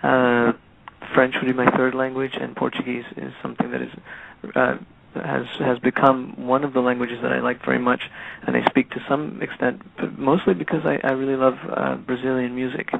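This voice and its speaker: A man's voice: mid-range voice, moderate pace, vocal fry. A middle-aged man from Peru whose first language is Spanish, chatting thoughtfully as a musician guest and often restarting his words. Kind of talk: casual interview chat. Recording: tinny phone line.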